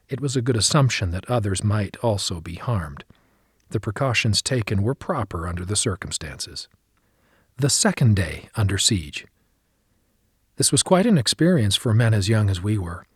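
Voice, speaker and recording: A man's voice: mid-range voice, darkish tone, husky, no room sound. Man narrating an audiobook, very dry sound. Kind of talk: scripted audiobook narration of a memoir. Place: close, dry studio recording.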